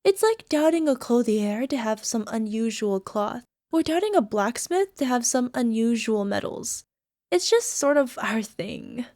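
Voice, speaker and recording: A lovesick young alchemist girl acted out by a woman speaks in a fairly high voice with no room echo. She coaxes coyly and sounds sweet with a possessive, menacing edge, in the style of an ASMR girlfriend roleplay. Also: expressive, unhurried, a little mellow, studio quality. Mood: pleased, chatty. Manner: coy, coaxing, giggly, tag questions. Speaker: voice actor.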